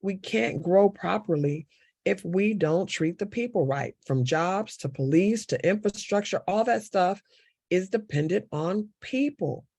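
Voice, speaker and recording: Woman, from the American South, gravelly voice, emphatic repetition, dry room. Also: lowish voice, lively intonation, unhurried, darkish tone, no room sound. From Southern United States. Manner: impassioned, repeats key words for emphasis. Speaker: city council candidate. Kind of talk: panel interview answer.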